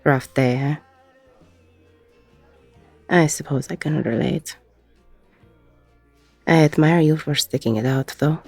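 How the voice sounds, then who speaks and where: Woman acting a husky, slow-talking ex-con barkeep. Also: low-pitched, a little mellow, dry recording, faint music behind, heavily acted. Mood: weary, fond. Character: weary, protective middle-aged ex-con female bar owner. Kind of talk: intimate audio roleplay acting.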